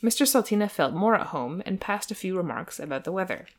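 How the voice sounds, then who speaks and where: Slightly low-voiced woman reading a story. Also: lively intonation, fast talker. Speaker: podcast co-host and reader. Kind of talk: dramatic storybook reading with casual chat.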